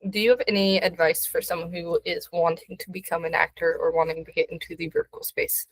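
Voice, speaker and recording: A woman hosting a casual interview, giggly and animated when telling a story. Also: lowish voice, quick pace, no room sound, poor audio. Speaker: podcast host.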